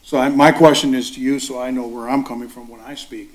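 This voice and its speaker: A man's voice: mid-range voice, fairly even intonation, quick pace. A man chairing a school board meeting, explaining at length and often adding "okay". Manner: rambling, repeats "okay".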